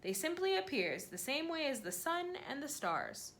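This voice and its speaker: A woman voicing a huge, very old and kindly male giant who jumbles his words with eager, excitable made-up phrases in a storybook reading. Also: sits high, moderate pace, slight background noise, voice pushed up, heavily acted. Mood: earnest, explaining. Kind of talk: storybook read-aloud.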